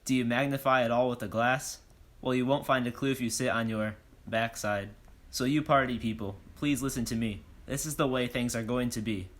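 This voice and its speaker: An actor playing a bold, self-important police detective, declaiming in rhyme in a comic audio drama. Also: male voice, mid-range voice, fairly even intonation, normal speed, lightly acted. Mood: accusing, boastful. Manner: declamatory, questioning, speaks in rhyme.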